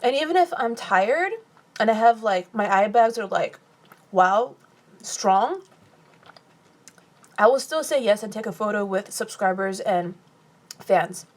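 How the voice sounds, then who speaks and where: A woman's voice: mid-range voice, animated. A young woman from California, casually answering viewer questions on a phone live stream while eating. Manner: chatty, reads comments aloud and answers with quick laughs.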